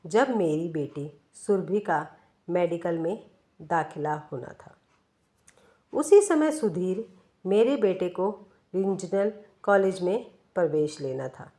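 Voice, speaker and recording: A woman reading a scripted first-person story in a measured, expressive way, with pauses. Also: fairly low pitch. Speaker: storyteller, narrator.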